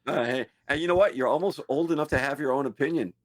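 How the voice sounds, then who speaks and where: A man co-hosting a podcast, rambling and playful, quick to mock himself. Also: mid-range voice, no room sound, studio quality. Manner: rambling, playful, self-mocking. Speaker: podcast co-host. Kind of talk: casual podcast chat.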